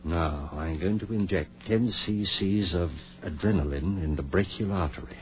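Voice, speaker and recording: Deep-voiced, obsessed surgeon, played by a man. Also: moderate pace, lightly acted. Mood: coolly assured. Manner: clipped commands, insistent and self-assured. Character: obsessed male surgeon reviving a dead woman, coolly commanding. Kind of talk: 1940s radio horror acting. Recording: muffled, noisy 1940s radio recording.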